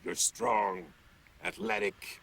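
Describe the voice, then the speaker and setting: A man voicing a gruff, lecherous demon hunter cursed into a wooden dummy, a world-weary wisecracker in a TV drama. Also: fairly low pitch, very noisy. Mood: wry, cocky. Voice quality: gravelly. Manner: world-weary wisecracking tough guy, drawn-out words. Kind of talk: television drama acting. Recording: TV soundtrack with prominent music. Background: music.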